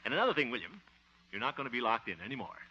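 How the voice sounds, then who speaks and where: A fussy, animated sitcom lead. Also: male voice, medium pitch, racing, slightly rough, full character voice. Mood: earnest. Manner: fussy, exasperated, chuckling comic lead. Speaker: lead of the show, radio host character. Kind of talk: 1950s radio sitcom acting. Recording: muffled hissy 1950 radio transcription.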